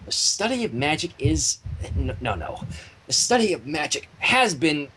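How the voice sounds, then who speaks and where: A man reading a story theatrically on a livestream, breaking off into casual banter, with a husky voice. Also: a little high, very animated, normal speed, pushed and bright, slight background noise, poor audio. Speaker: fan fiction reader and livestream host.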